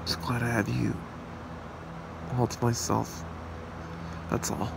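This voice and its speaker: A man's voice: mid-range voice, slow pace, vocal fry. A man playing an earnest, devoted young hero boyfriend in an amateur ASMR roleplay. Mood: tender. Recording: steady hiss and hum behind voice.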